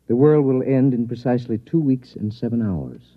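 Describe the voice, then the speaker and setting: An actor playing a grave scientist in a 1950s radio drama, speaking in a formal, measured, solemn way. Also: male voice, medium pitch, expressive, muffled recording, close to an everyday voice. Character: grave scientist professor bearing news of doom. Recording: thin band-limited vintage radio recording.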